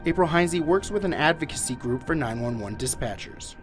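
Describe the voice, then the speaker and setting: A man's voice: on the high side, animated, brisk, over music. An American man narrating a scripted television news report as a reporter.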